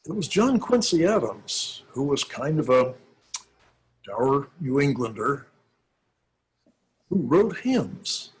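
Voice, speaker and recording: A man, a minister, giving an informal talk on church history, thoughtful and halting with many pauses. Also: medium pitch, big pitch swings, husky, rough recording.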